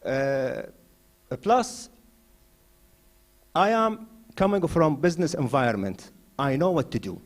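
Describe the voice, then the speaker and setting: A man originally from Iraq, standing as a candidate and giving a forceful hustings speech in a mosque hall, with frequent "uh" fillers as he builds to emphatic points. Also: on the high side. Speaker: election candidate.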